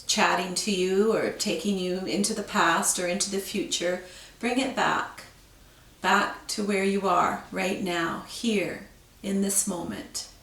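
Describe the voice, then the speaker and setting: A woman teaching yoga, guiding a breathing meditation with slow, calm, soothing instructions. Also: medium pitch, echoey room, distant mic, poor audio.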